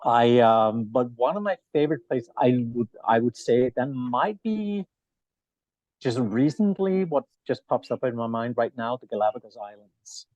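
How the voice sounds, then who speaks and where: A man's voice: on the low side, a little mellow, no echo, rough recording. A middle-aged man from Denmark, a native Danish speaker, chatting casually as a podcast guest in a rambling, self-interrupting way. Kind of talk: casual chat, travel anecdotes. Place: bar.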